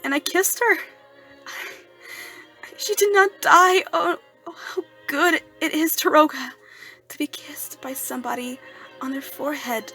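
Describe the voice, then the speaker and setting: A woman performing an amateur dramatic monologue as an anguished, lovesick, masked male outcast near death, in a halting, breathy delivery that moves between hushed murmurs and emotional outbursts, over music. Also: high voice, little pitch movement. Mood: tearful, overwhelmed.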